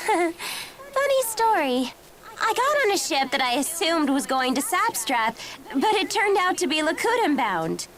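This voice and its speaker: A woman's voice: extremely high pitch, very animated, a little slow, a bit bright, exaggerated voice. A woman voicing a perky, curious teenage girl with a playful, teasing manner in a video game. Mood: cheerful. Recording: noisy game audio capture.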